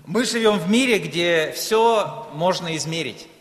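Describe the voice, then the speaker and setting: A male preacher from Ukraine, a native Russian speaker, with a slightly high voice. He delivers his sermon in short phrases with space between them, and the church hall rings with long reverb. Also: lively intonation, measured pace. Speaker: guest preacher.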